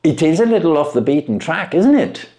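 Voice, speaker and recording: A man acts out a brilliant storybook detective, a self-assured gentleman. His speech is precise and articulate, rising and falling widely, with dry amusement and a mellow tone. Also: on the high side, very animated, brisk, pitched above the performer's own voice. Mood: dryly amused. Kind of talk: storybook character voice.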